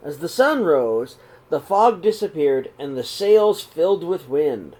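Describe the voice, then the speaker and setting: A middle-aged man reading a children's picture book aloud in a small room, slow and expressive. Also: fairly high pitch. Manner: reads slowly and expressively, voices the characters' calls. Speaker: storybook reader. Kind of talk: read-aloud storytelling for children.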